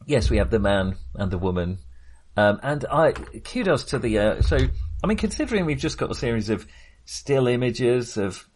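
A man's voice: mid-range voice, big pitch swings, moderate pace, a little mellow, slight background noise. A man co-hosting a casual film discussion, rambling and often interrupting himself as he searches for words. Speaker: film podcast co-host.

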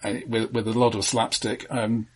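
A man co-hosting a film podcast, talking casually and a little haltingly as he goes through a countdown. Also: fairly low pitch, flat delivery, slightly rough. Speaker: film discussion podcast co-host. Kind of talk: casual chat, presenting a countdown.

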